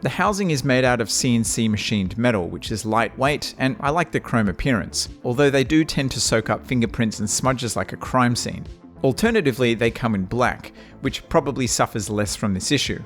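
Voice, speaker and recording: A man with a nasal voice, giving a measured, wry scripted review of audio gear. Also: medium pitch, lively intonation, soft background music. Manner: measured, wry and matter-of-fact. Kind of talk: scripted product review narration.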